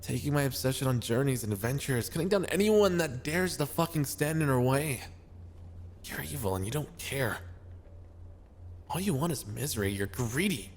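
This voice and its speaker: A man's voice: medium pitch, slight background noise, lightly acted. A man playing a brash young king, an obsessive yandere, in an intimate, intense ASMR roleplay. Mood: indignant.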